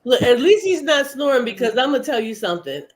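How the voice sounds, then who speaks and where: A teasing woman in her fifties whose high voice swoops up and down as she talks. She co-hosts a casual live stream and laughs often. Her speech is playful and loose. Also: sing-song, fairly quick. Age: 50s. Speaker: co-host.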